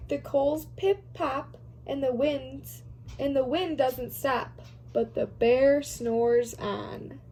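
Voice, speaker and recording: A woman's voice: sits high, fairly even intonation, slow pace, dark tone, a little hiss or hum. A woman reading a picture storybook aloud for young children.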